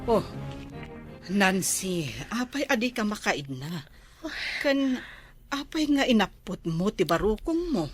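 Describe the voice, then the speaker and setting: A woman with an Ilocano accent playing a concerned older mother gently fussing over her daughter in a radio drama. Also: mid-range voice, sing-song, slow pace, long pauses, soft background music.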